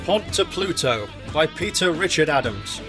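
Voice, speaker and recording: Male episode announcer, scripted titles, animated delivery, prominent plucked guitar music behind. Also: a little high, normal speed. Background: loud plucked guitar music.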